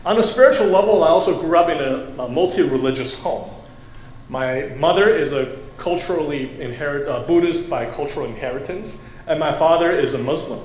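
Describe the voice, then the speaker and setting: A man from Taiwan giving a conversational sermon as a guest preacher, pausing often with fillers. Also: fairly high pitch, a little mellow, a little hiss or hum. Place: church sanctuary. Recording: echoey church room, faint ambience. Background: congregation.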